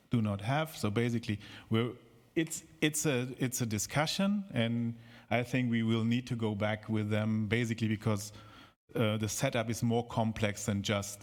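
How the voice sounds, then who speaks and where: A man speaking up from the audience at a conference, halting with many restarts and joking asides. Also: lowish voice. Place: conference hall.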